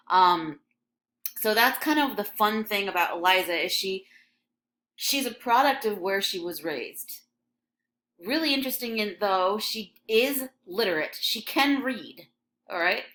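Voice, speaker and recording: A woman's voice: medium pitch, sharp tone. A young woman from Michigan with a slight Michigan accent, teaching an English lesson in a casual, chatty way full of ums and likes. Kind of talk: recorded classroom lesson over a scrolling text.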